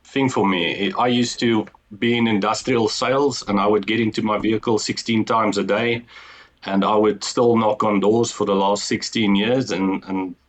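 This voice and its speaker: Low-voiced Afrikaans-accented man. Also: steady tone, normal speed. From South Africa. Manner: earnest storytelling, frequent ums and restarts. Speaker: guest, business co-founder. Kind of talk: casual interview talk.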